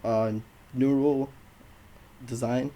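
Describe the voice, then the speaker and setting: Young man, light Polish accent, soft mellow timbre, mid pitch, casual understated podcast guest. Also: steady tone, slow. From Poland. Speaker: guest, jiu-jitsu student. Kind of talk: casual interview answers.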